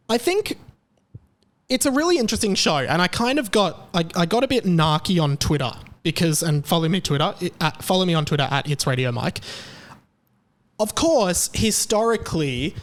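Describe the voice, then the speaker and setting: A young Australian man talks through his opinions on his own commentary show. His voice sits a little high, and his delivery is chatty and sarcastic. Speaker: podcast host. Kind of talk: casual commentary monologue.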